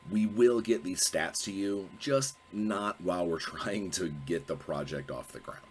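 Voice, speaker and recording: Deep-voiced man with a stuffy cold. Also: unhurried, slight background noise. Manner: rambling, self-correcting, many fillers. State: congested, sniffly from a cold. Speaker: host. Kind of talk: casual solo video talk.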